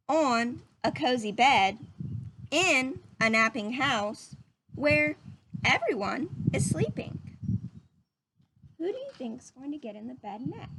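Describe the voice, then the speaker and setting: A woman's voice: high-pitched, a bit bright, slight background noise, low-quality recording. A woman reading a picture book aloud to young children like a teacher, drawing out phrases and putting questions to her listeners.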